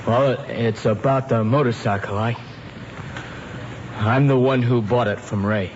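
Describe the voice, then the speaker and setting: An actor playing a reluctant, sheepish teenage son who speaks hesitantly and colloquially in an old radio drama. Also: male voice, mid-range voice, fairly even intonation, measured pace, natural voice. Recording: dull, noisy old radio recording.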